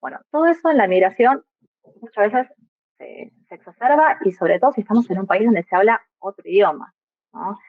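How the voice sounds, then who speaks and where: A young woman from Argentina with a clear Argentine accent talks through her ideas in a reflective way, often checking in with small tag questions. Her voice comes over a tinny, phone-like line. The sound is dry, with almost no room around it. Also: mid-range voice, animated, brisk, dry recording. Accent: Argentine Spanish. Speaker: guest, migrant mother. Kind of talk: reflective podcast conversation.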